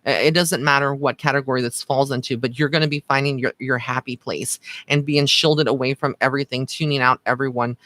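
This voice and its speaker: A man's voice: medium pitch, steady tone, fairly quick, hardly any pauses, pushed and bright, no room sound. A man working as a psychic medium, giving card readings in a casual, chatty livestream style with informal endearments for his listeners. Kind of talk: casual livestream chat with viewers.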